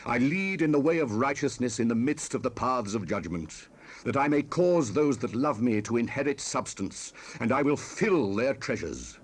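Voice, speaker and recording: A man's voice: mid-range voice, animated, normal speed, husky, pitched above the performer's own voice. Man proclaiming as exalted, righteous spirit of Wisdom. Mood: exalted, commanding. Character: Wisdom personified, proud, righteous, ancient female spirit. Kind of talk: dramatized Bible reading. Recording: 1970s cassette rip with hiss.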